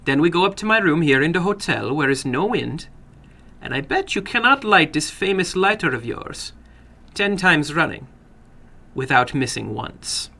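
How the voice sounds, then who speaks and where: Casting: a man playing a sinister little foreign gambler for a horror story, raised pitch, soft, polite, coaxing, foreign accent. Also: big pitch swings, creaky, exaggerated voice. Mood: coaxing, sly. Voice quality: soft, toneless. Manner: slow, courteous, coaxing, broken English. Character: funny little foreign gambler, soft-spoken, slyly menacing.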